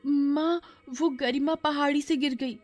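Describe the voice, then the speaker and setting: Woman acting an emotional, scheming young girl, pitched up. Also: steady tone, unhurried, a little mellow, faint music behind. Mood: panicked. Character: jealous, scheming young girl, later tearful and remorseful. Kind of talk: dramatised cartoon moral story.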